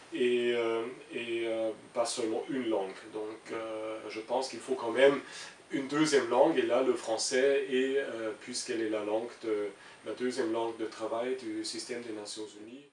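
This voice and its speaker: A middle-aged man with a German accent explains his views in an interview, pausing and repeating himself as he looks for words. His pitch is average for a man. He sounds set back from the microphone in a roomy space, with a faint hiss. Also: a little mellow, far from the mic. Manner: hesitant, searching for words with frequent repetitions. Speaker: international civil servant being interviewed. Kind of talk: interview answers, explaining an opinion.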